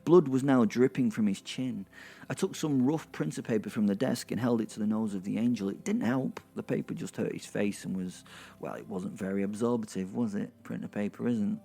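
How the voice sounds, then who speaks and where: A man's voice: lowish voice, normal speed, creaky, warm tone. A man narrating a dramatic story in the first person, conversational and wry, with rambling, chatty asides. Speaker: narrator and host.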